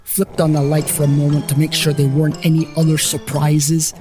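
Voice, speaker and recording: A man's voice: on the high side, monotone, brisk, music in the background. A man reading a scripted first-person story as its storyteller.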